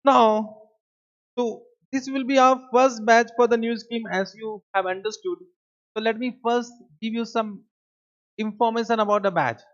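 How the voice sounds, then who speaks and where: Man, Indian English accent, high voice, lecturing teacher, keeps asking "understood?", muffled recording. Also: fairly even intonation, moderate pace, fairly bright tone. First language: Hindi. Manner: keeps checking in with students, repeats key points. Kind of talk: classroom lecture.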